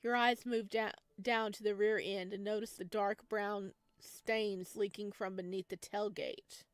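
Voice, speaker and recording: A woman reads a horror visual novel aloud at an even pace, her voice in the middle of the usual range for a woman. She stops now and then for chatty asides and giggles. The sound is close and dry, with no room echo. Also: medium pitch, unhurried, clean tone. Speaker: game streamer.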